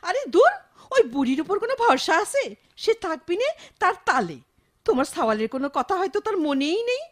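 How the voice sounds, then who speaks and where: A woman's voice: very high voice, big pitch swings, fairly quick. An actress playing a doting, fretful old grandmother with a rural Bangladeshi accent in a radio drama, exclaiming and scolding dramatically. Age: older. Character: doting, fretful old village grandmother, a widowed mother-in-law. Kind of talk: Bengali radio drama acting.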